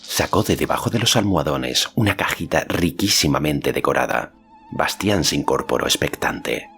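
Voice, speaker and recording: A man's voice: very deep voice, expressive, normal speed, creaky, fairly bright tone, soft background music. A man reading a fantasy novel aloud as scripted literary narration. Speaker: audiobook narrator.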